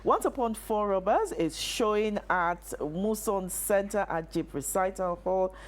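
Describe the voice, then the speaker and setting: A woman's voice: mid-range voice, expressive, a little slow, slight background noise. A middle-aged woman with a Nigerian accent, hosting a conversational talk show interview.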